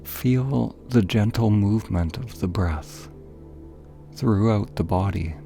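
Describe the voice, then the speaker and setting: A man narrating a guided meditation in a calm, gentle, deliberate way, leaving pauses between phrases. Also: on the low side, gravelly, faint music behind.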